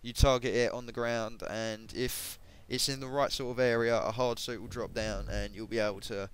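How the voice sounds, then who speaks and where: A man giving casual, hesitant commentary on game equipment, his voice a bit croaky, with many ums and self-corrections. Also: mid-range voice, steady tone, moderate pace, poor audio. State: voice going croaky. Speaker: gaming video creator.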